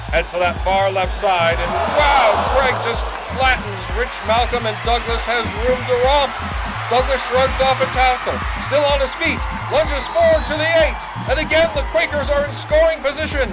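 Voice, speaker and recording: Man doing a 1920s radio football announcer, shouting, fast play-by-play, loud music behind. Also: high voice, natural voice, poor audio. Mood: urgent.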